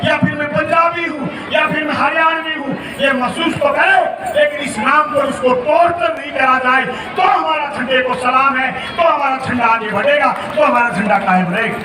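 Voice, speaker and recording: Man yelling a fiery holiday speech outside, echoey loudspeaker heard from far off, crowd noise all around. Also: extremely high pitch, moderate pace, hardly any pauses. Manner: rousing, declamatory, often shouting. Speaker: member of state legislature. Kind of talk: public speech at Independence Day ceremony. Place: outdoor public ceremony ground.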